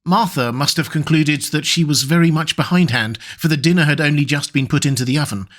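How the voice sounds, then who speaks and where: A man hosting and narrating an audiobook of a classic novel in a calm, measured, welcoming way. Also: on the high side. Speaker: audiobook narrator and channel host.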